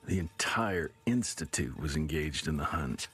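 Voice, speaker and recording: An actor playing a laconic, wry monster hunter in a video game cutscene. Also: male voice, a bass voice, expressive, measured pace, husky, heavily acted. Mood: wry storytelling. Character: laconic male monster hunter reminiscing about old hunts. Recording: loud background noise and ambience.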